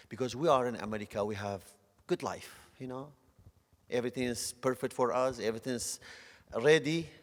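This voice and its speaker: A middle-aged man from Northern Iraq with a Kurdish accent and broken English, giving an earnest, halting church testimony. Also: lowish voice. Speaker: guest speaker. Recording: long church hall reverb.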